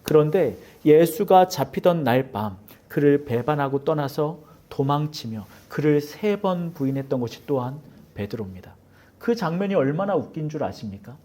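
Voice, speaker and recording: A man's voice: a little high, expressive, normal speed, dark tone. A man from Seoul preaching a sermon as pastor of his church. He preaches dramatically, acting out quoted voices and sometimes dropping to a near whisper. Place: church sanctuary.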